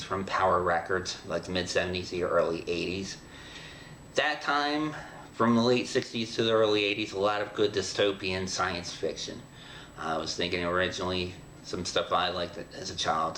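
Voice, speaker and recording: A middle-aged man hosting a show, talking casually to the viewer in a halting, rambling, conversational way. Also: on the low side, bright, forceful tone. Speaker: host of an audio drama show. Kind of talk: casual show introduction to camera. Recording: echoey room with background hiss.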